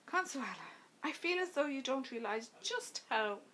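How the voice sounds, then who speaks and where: A woman's voice: high-pitched, big pitch swings, normal speed, fairly bright tone, slight background noise, pitched above the performer's own voice. A woman voicing an exasperated, self-important husband in an audiobook story, with a clipped, insistent manner.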